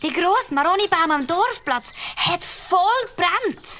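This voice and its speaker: A chatty young girl with a Swiss German accent. Her voice is high, bright and bouncy. A faint hiss runs under it in this children's radio play. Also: expressive, unhurried, heavily acted. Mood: eager.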